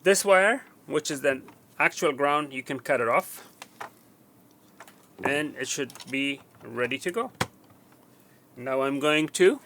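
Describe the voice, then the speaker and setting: A man giving a hands-on wiring walkthrough, halting with fillers and restarts, counting pins aloud as he points. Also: mid-range voice, creaky, bright, forceful tone, a little hiss or hum. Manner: halting, with frequent fillers and restarts while working. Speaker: technician demonstrating wiring.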